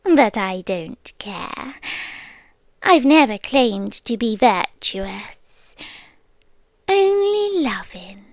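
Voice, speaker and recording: A woman with a British accent playing a possessive, ageless creature girlfriend in an intimate ASMR roleplay, breathy and often whispering, tender yet menacing. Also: fairly high pitch, sing-song, no room sound, heavily acted. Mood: tender, pleading. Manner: slow, deliberate, coaxing with lingering pauses. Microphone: close, dry ASMR microphone.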